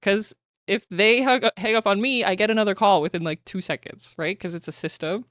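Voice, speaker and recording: A woman's voice: mid-range voice, brisk, a bit bright, no echo, clean studio sound. A woman with a Canadian accent hosting a podcast, chatting casually and acting out quotes, laughing often. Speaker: podcast host. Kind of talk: casual chat.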